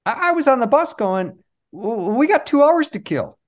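A man's voice: very high voice, big pitch swings, normal speed. A man speaking as an interview guest, telling anecdotes and explaining his work in a casual, conversational way. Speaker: interview guest, performing magician.

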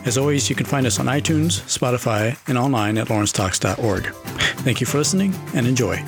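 A male podcast host with a gravelly, creaky voice reads a scripted introduction at a steady pace. A guitar track plays clearly beneath him. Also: mid-range voice, brisk. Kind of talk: scripted intro and interview questions. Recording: music bed under the voice. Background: guitar music.

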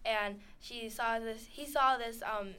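A woman's voice: on the high side, takes it slowly, pushed and bright, clean tone. A teenage girl co-hosting a casual radio chat, rambling, giggling and acting out characters as she recaps scenes. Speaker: co-host. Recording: radio studio.

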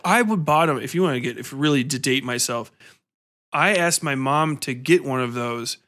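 A man's voice: medium pitch, normal speed, fairly bright tone. A man in his thirties hosting a podcast interview in a casual, rambling way, telling anecdotes informally. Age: 30s.

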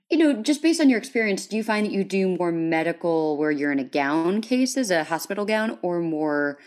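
A man hosting a podcast, interviewing in a conversational way with wordy questions that he rephrases as he goes. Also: on the high side. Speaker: podcast host.

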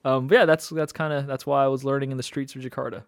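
A man's voice: medium pitch, level tone, quick pace, no room sound, clean studio sound. A young man born in Indonesia chatting casually as a guest, rambling with fillers and restarts and laughing often. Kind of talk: casual conversation.

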